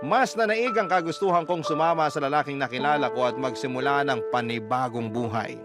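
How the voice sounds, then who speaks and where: A man's voice: mid-range voice, a little fast. A man reading a listener's letter as a dramatic first-person story, in the manner of a radio host. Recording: music bed under the voice.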